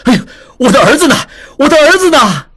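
A man voicing an eager, excitable young merchant with a bright, animated delivery. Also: medium pitch, moderate pace, slightly rough. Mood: overjoyed.

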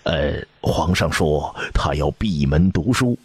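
A man's voice: very deep voice, lively intonation, takes it slowly, slightly rough, dry recording, heavily acted. A man voicing a hesitant male court minister who hedges his answers. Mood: evasive. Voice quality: hesitant, hedging.

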